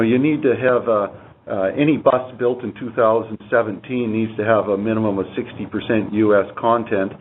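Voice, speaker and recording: A man answering as a company executive on an earnings call, explaining informally and repeating words as he goes, on a dull, noisy line. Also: lowish voice.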